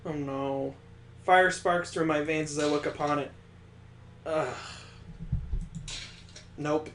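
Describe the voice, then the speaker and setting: Male let's play host, slightly high voice, exasperated and sardonic, recorded in a roomy space. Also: steady tone, slow pace, a bit bright, slight background noise. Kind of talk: live game commentary mixed with reading visual novel text aloud. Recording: roomy, echoing sound.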